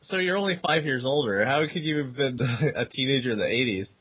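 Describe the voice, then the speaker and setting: A man's voice: medium pitch, brisk, husky. A man in his thirties from Canada, giggly and teasing in a group commentary. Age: 30s. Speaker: commentary guest. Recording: dull lo-fi online call audio.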